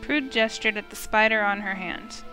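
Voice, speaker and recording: A woman's voice: mid-range voice, normal speed. A woman streamer reading a fantasy visual novel aloud in a storytelling narration style. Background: music.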